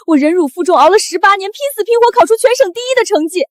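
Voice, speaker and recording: A young woman in a short drama, wronged yet resilient. Her high voice is earnest and declamatory, recorded close and dry. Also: expressive, quick pace, no echo. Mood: aggrieved. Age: young adult. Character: wronged, resilient young top student cast out by family.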